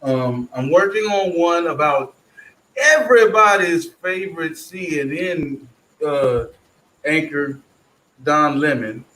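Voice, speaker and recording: A man's voice: fairly high pitch, lively intonation, slow, fairly bright tone. A man hosting a casual online group chat, rambling loosely about his plans and swearing freely. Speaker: stream host. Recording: echoey room.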